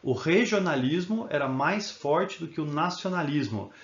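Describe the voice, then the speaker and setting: A man's voice: fairly high pitch, lively intonation, normal speed, reverberant, highs cut off. A male history teacher giving a recorded video lesson, explaining in an informal way and often checking in with tag questions.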